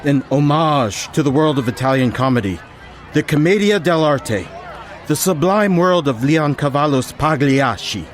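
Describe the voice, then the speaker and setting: Man acting a pompous, lively-pitched carnival snob. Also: normal speed, natural voice. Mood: haughty, disdainful. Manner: pompous, haughty, dismissive. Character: vain, snobbish carnival reveler and wine connoisseur. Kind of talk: radio play dialogue.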